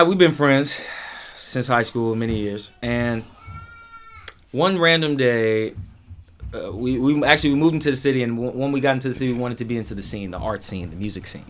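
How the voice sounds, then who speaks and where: A man hosting a podcast, chatting casually and telling stories in an animated way with frequent check-in questions. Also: fairly low pitch, a little hiss or hum. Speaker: podcast host, musician.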